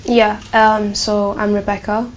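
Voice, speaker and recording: A woman's voice: mid-range voice, flat delivery, a little slow, lo-fi sound, slight background noise. A woman in her twenties with a light Glaswegian Scottish accent, answering interview questions casually with many ums and likes. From Burundi. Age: 20s. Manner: chatty, many fillers like um and like. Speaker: student interviewee.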